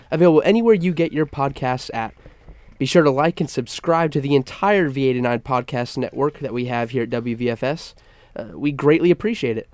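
Stuffy-nosed young college radio host. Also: male voice, on the high side, darkish tone, dry recording, studio quality. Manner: upbeat broadcast patter with frequent stammered restarts. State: stuffy nose. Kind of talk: sports talk radio hosting. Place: campus radio studio.

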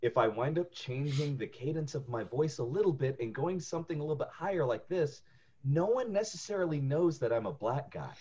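Husky man, podcast co-host, voice actor, halting restarts, slightly lo-fi sound. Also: on the high side, animated, mellow. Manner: repeats words as he starts sentences. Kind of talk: casual podcast chat.

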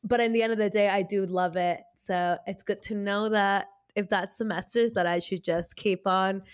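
A woman's voice: medium pitch, fairly even intonation, normal speed. A woman co-hosting a podcast in casual, slangy chat, gushing and giggly as she talks and reads cards. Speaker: podcast co-host. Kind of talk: casual chat with tarot card readings.

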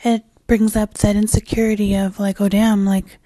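A woman talks through her own podcast with a raspy, worn-out voice that is nearly gone. She rambles candidly, circling back over her words and swearing casually. Her tone sits dark and mellow with little rise and fall, and the recording is dry and close. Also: mid-range voice, little pitch movement, normal speed, no echo. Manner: rambling and candid, with frequent fillers and restarts. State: hoarse, losing her voice. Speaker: podcast host. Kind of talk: casual solo podcast chat.

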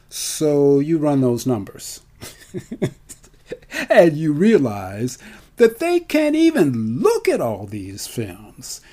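A man's voice: on the high side, warm tone. A man telling a personal story in a conversational monologue, emphatic and theatrical, with rhetorical questions. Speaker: film festival marketer and storyteller.

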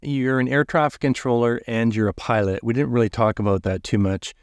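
A man hosting a conversational interview in a polite, earnest, halting way. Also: medium pitch, fairly even intonation, dry recording, studio quality. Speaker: podcast host, pilot.

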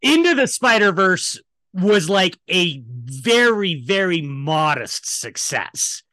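Guy podcast host ranting about his takes, bright punchy voice, kinda high, super dry sound. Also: very animated, takes it slowly, dry recording. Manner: emphatic, opinionated, profane. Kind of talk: casual film discussion, opinion rant.

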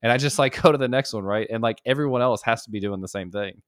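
A man's voice: medium pitch, quick pace, clean tone, no room sound, studio quality. A man appearing as a podcast guest, chatting enthusiastically and saying "like" a lot. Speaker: guest, developer and YouTuber. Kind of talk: casual podcast conversation.

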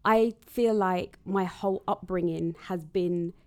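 A woman's voice: lowish voice, fairly even intonation, measured pace. Earnest woman born in Trinidad. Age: late 20s to early 30s. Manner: earnest, flowing, emphatic. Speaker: guest, radiographer. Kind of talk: casual podcast chat.

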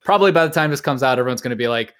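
A man with a mid-pitched voice hosts a casual podcast chat. He speaks informally and tends to think out loud as he moves through questions for his guest. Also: lively intonation, rapid-fire, clean tone. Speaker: podcast host.

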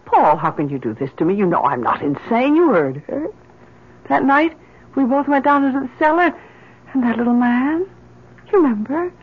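Husky, distraught wife in radio drama. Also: female voice, on the high side, big pitch swings, moderate pace, narrow, tinny audio. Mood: frantic, defiant. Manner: fervent, melodramatic pleading. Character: distraught, obsessive grieving mother and wife, defiant. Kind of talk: old-time radio drama acting. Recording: vintage radio recording.

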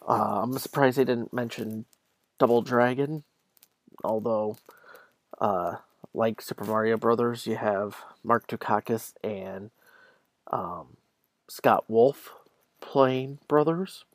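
A man with a noticeably creaky, gravelly voice talks as a solo podcast host. His delivery is casual and conversational, speaking straight to the listener. The recording is close and dry, with very little room sound. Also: on the low side, slow, pauses often, no room sound. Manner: conversational, addresses listeners directly.

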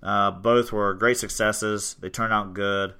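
A man's voice: lowish voice, slow pace, clean tone. A man, chatting casually on a podcast about his gym, rambling with lots of fillers. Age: 20s. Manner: relaxed, rambling with many fillers. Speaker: gym owner and podcast host.

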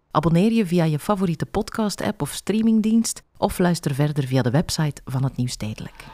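A man's voice: a little high, a little mellow. Flemish man reading podcast credits. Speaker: announcer. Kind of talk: scripted podcast outro.

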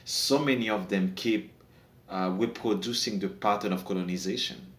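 A man's voice: lowish voice, steady tone, normal speed, fairly bright tone, echoey room. A man from Haiti with a Haitian accent, a native Creole speaker, talking earnestly as a podcast guest and often repeating words as he searches for them. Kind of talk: casual discussion.

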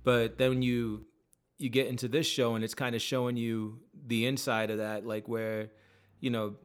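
A man's voice: medium pitch, flat delivery, moderate pace, clean tone. A man co-hosting a casual talk show, rambling loosely with lots of fillers and restarts. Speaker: podcast co-host. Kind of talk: casual chat.

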